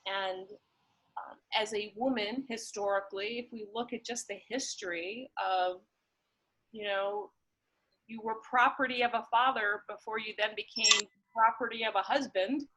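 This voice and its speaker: A woman speaks at an unhurried pace, with a medium pitch. She is thoughtful and somewhat halting, feeling her way through ideas as in a reflective, casual conversation. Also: fairly even intonation, pushed and bright, poor audio. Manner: halting, thoughtful, searching for words.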